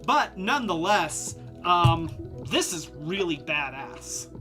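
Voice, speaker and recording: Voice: medium pitch, big pitch swings, takes it slowly, sharp tone, soft background music. A live-stream host reviewing toys in a casual, rambling way, congested and losing their voice, a bit tipsy from drinks. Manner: rambling, many fillers and restarts.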